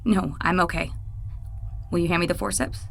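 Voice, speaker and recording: A young scientist, newly arrived at a secret research lab. Her voice sits a little low for a woman, and she speaks in an anxious, halting way suited to horror audio drama. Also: gravelly, soft background music. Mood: shaky. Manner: anxious, halting, stammering under stress.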